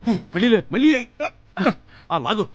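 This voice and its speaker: A man voicing an impatient, foolish thief in an animated children's story. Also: high voice, measured pace, dull audio. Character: impatient, foolish male thief toiling at night. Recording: loud music under the voice.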